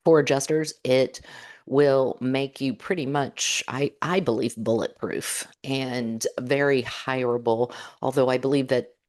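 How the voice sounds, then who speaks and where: A woman co-hosting a podcast in casual chat, her voice hoarse and raspy, upbeat and chatty. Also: a bass voice, fairly even intonation, a little slow, a little mellow. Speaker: podcast co-host.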